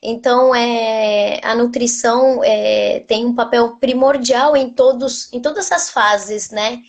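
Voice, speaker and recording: A woman's voice: on the high side, steady tone, slow. A woman from Argentina with an Argentine Spanish accent, a native Spanish speaker and nutritionist, talking on a video call with frequent restarts. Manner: warm, many hesitations and restarts. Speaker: nutritionist guest. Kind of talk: live online interview.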